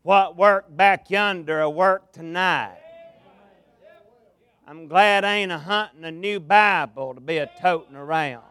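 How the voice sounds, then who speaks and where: A man with a Southern US accent preaching a revival sermon in a folksy, drawn-out cadence, with some gravel in his voice, speaking into a pulpit mic in a large tabernacle. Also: sits high, pushed and bright. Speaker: pastor, guest preacher. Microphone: amplified mic at a pulpit.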